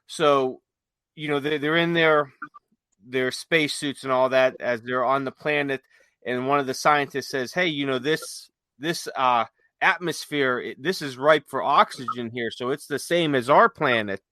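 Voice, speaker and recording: Bright-voiced man chatting casually, close and dry. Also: mid-range voice, no room sound. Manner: halting, conversational, retells stories with quoted dialogue. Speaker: podcast host. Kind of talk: casual chat, rambling storytelling.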